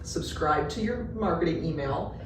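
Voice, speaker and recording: A woman hosting a chat speaks in a slightly low voice and keeps her guest going with encouragement and easy laughter. She is set back from the mic in a very echoey room. Clear background noise sits under her voice. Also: lively intonation, moderate pace. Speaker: podcast host. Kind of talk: conversational interview. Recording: roomy echo, distant mic, noisy.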